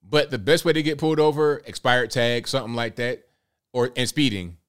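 A man's voice: medium pitch, fairly even intonation, brisk, fairly bright tone, clear, resonant tone. Laid-back man in his late thirties. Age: late 30s. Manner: laid-back, conversational, repeats himself. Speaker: livestream host. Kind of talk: casual talk reading viewer comments.

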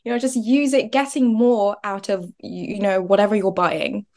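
A woman's voice: medium pitch, fairly even intonation, moderate pace, darkish tone. Chatty young woman, podcast guest. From Nigeria. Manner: chatty, laughs often, restarts words. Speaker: guest, business founder. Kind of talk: podcast interview.

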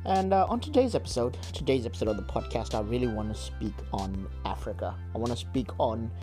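A man's voice: mid-range voice, animated, a little fast, over music. A man from Zimbabwe hosting a solo podcast, talking earnestly with many restarts and repeated words. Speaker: podcast host. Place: United Kingdom.

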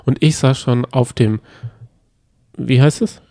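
A man's voice: mid-range voice, slow pace, mellow, no echo, clean studio sound. A man co-hosting a casual podcast with playful, teasing banter. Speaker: podcast co-host. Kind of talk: casual banter recapping reality TV.

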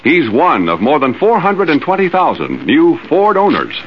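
A man's voice: a little high, wildly swooping pitch, normal speed. An American man announcing in 1950s radio style, polished, emphatic and declamatory. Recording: muffled old radio recording, faint noise.

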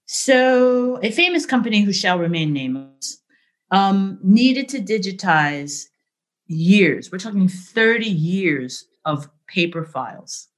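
A middle-aged woman speaking as an interview guest, telling candid work stories in a lively, conversational way, often asking "right?". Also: medium pitch, takes it slowly. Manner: animated, frequent "right?" and "like", laughs easily. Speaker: interview guest, business leader.

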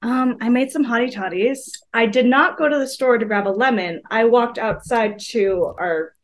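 Brief: female guest, fairly high voice, cheerful and giggly. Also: moderate pace. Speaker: podcast guest. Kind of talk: casual podcast chat.

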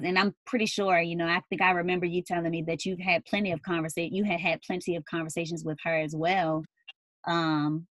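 Young woman, somewhat low voice, hesitant fillers, thoughtful, dry close sound. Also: no room sound. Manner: thoughtful, with many fillers and frequent laughter. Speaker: daughter interviewing her mother. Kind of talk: casual family conversation.

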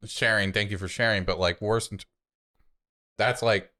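A man's voice: lowish voice, steady tone, normal speed, pushed and bright. A young adult American man co-hosting a podcast, chatting casually in an informal, reactive and sweary way. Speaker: podcast co-host. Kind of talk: casual chat.

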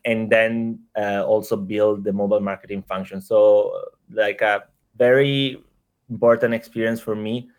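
A man with an Italian accent speaks at a somewhat low pitch. He pauses often, filling gaps with "uh" and restarting his phrases as he tells his story in a relaxed interview. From Italy. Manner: hesitant, frequent fillers and repeated words. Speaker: startup executive guest. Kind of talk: casual interview conversation.

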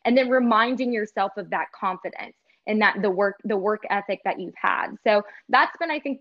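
Earnest woman sports host, dull sound. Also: mid-range voice. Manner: earnest, filler-heavy with many "like" and "you know". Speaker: in-arena sports host and reporter. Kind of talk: casual podcast interview talk.

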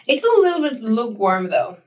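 A young woman co-hosting a podcast with a slightly raspy voice, chatting in an easygoing, rambling way. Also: a little high, sing-song, brisk, a little mellow, recorded from a distance. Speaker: podcast co-host. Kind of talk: casual chat.

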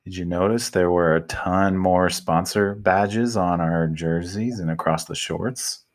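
Deep-voiced man hosting a casual chat. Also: unhurried. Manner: relaxed, conversational, leads the topics. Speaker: podcast host.

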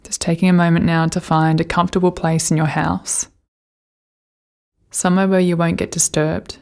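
A woman leading a guided meditation, giving gentle, soothing instructions with unhurried pauses. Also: lowish voice, flat delivery, creaky.

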